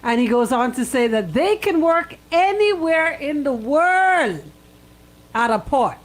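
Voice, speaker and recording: High-voiced, emphatic Bahamian woman. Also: very animated, measured pace. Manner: emphatic, repeats phrases for effect. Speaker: radio co-host. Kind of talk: opinionated on-air banter.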